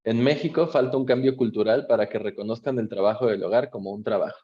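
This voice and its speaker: A man's voice: medium pitch, fast, dark tone, highs cut off. A man with a Mexican accent moderating a conversation, reading out audience questions in a friendly, hesitant conversational manner. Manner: friendly, encouraging, with frequent hesitations. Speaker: moderator, interviewer.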